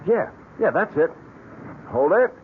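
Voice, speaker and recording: An actor playing an eager, excitable young camera enthusiast in an old-time radio drama. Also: male voice, a little high, unhurried. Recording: muffled vintage radio broadcast, faint noise.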